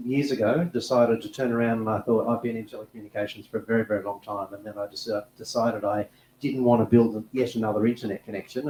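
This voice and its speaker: Mellow-voiced man, relaxed and rambling. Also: lowish voice. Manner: relaxed, with restarts and repeated words. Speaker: panelist, technology executive. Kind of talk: panel discussion. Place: conference panel room. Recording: echoey room, faint background noise.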